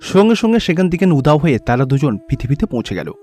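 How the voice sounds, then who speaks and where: A man's voice: fairly high pitch, big pitch swings, fast, mellow. A man narrating a scripted cartoon story as its storyteller.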